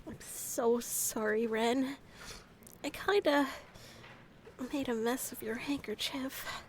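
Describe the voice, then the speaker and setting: A woman acting a boisterous, apologetic female researcher in an amateur audio drama, halting and flustered as she fights back sneezes. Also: fairly high pitch, steady tone, a little hiss or hum. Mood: embarrassed, apologetic.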